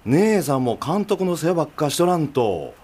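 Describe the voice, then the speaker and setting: An actor playing an exasperated younger brother in a family business, blunt and nagging, with a Mikawa Aichi dialect, in a radio drama. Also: male voice, a little high, sing-song, moderate pace, darkish tone. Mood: blunt, grumbling.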